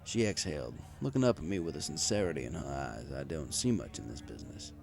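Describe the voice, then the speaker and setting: A man's voice: very deep voice, animated, fast talker, gravelly, music in the background. A man reading a first-person story in a wry, conversational way. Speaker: story reader. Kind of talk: first-person story narration with acted dialogue.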